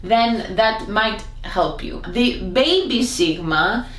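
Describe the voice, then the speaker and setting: A woman teaching a comedic language lesson to camera, playful and theatrical, her voice jumping up in pitch now and then. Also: lively intonation, takes it slowly, crowd noise. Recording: echoey room.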